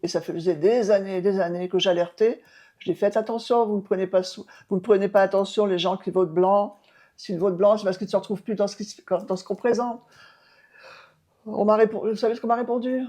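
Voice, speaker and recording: A woman's voice: mid-range voice, steady tone, brisk, warm tone. A woman in her seventies, an outgoing mayor, answering interview questions emphatically with many hesitations and restarts. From France. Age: 70s. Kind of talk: local TV interview.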